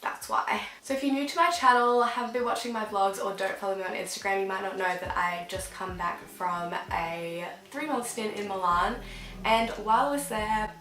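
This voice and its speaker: A young woman, sick and slightly croaky and nasal, chatting casually through a makeup tutorial while applying products. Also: medium pitch, moderate pace, a bit bright, reverberant, a little hiss or hum. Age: young adult. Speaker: beauty vlogger. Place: dim bedroom with fairy lights, indoors.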